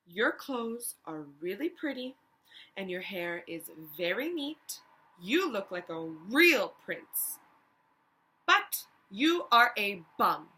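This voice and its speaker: A bold, clever young storybook princess acted by a woman. She exclaims with emphasis in a bright, forceful voice that leaps up and down. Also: mid-range voice, sing-song, takes it slowly, heavily acted, rough recording. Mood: scornful.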